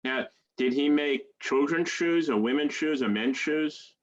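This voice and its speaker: A man conducting an informal oral-history interview over a video call, speaking haltingly and repeating himself. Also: a little high. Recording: dull, lo-fi video call audio.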